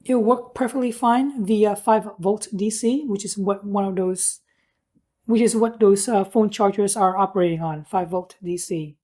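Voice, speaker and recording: A woman's voice: medium pitch, fairly even intonation, normal speed, warm tone. A woman giving a casual hands-on product review, explaining things in an informal, plain-spoken way.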